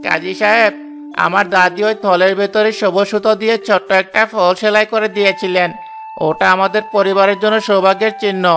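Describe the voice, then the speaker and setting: A man's voice: high-pitched, level tone, a little fast, vocal fry, a bit bright, soft background music. A man voicing a brazen, scheming accuser in a cartoon tale, insistent and indignant. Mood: pleading, aggrieved. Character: brazen scheming male accuser falsely claiming a purse. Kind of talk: dramatic cartoon voice acting.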